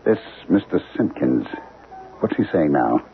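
A man's voice: very deep voice, very animated, measured pace, creaky, exaggerated voice. An actor playing an anxious middle-aged scholar and husband in a radio drama, hesitant but insistent in his questions. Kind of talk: 1970s radio drama acting. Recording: lo-fi phone-like old radio broadcast, music behind.

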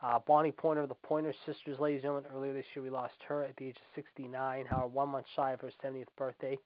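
A man's voice: medium pitch, flat delivery, rapid-fire, no room sound. A man in his forties hosting a casual radio show, repeating stock phrases and addressing listeners like an emphatic announcer. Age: 40s. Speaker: host. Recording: dull lo-fi internet radio audio.

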